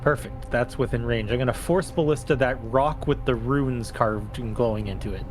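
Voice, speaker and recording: A man's voice: mid-range voice, normal speed. A man chatting casually as a tabletop game player, thinking aloud. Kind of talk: casual chat while playing. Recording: music under the voice. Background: music.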